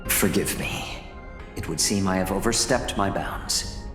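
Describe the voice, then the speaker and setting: An actor voicing an urbane, smugly courteous corporate manager in a video game, speaking in formal, measured, wordy phrases. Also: male voice, low-pitched. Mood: politely apologetic. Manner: formal, verbose, genteel, measured. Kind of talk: video game cutscene voice acting, expository. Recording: roomy echo, music underneath.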